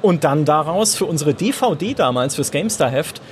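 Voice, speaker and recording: A man hosts a casual talk on a trade fair floor, friendly and quick to argue in jest. His voice sits somewhat high for a man. Faint ambient noise lingers behind him. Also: lively intonation. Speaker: host. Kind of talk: casual chat.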